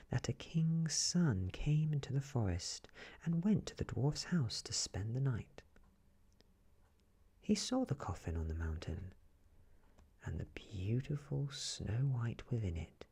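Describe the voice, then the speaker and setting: A man reading a fairy tale as soft-spoken ASMR, gentle and hushed, often slipping into a whisper. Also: medium pitch, gravelly, husky. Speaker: ASMR storyteller.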